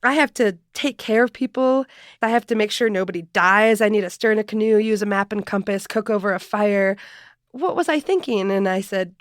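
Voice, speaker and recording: An expressive woman in her late thirties or forties, an outdoor guide telling stories as a podcast guest. Her pitch sits mid-range, and the recording is dry and close, with no room echo. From born in Ecuador, raised in Minnesota. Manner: expressive, animated storyteller. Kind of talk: podcast interview, storytelling.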